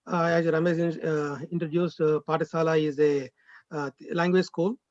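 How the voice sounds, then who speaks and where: Guy on a zoom call, kinda high voice, lots of uhs, sounds muffled and dry. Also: little pitch movement, moderate pace, a little mellow, no room sound. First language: Telugu. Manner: hesitant, many fillers. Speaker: language school director. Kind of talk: event speech on a video call. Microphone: video call. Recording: dull, dry video call audio.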